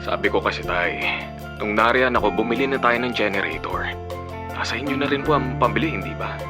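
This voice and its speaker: A man's voice: mid-range voice, brisk, over music, close to an everyday voice. A man playing an adult son who is protective of his father and resentful, in a Filipino radio drama. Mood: nagging.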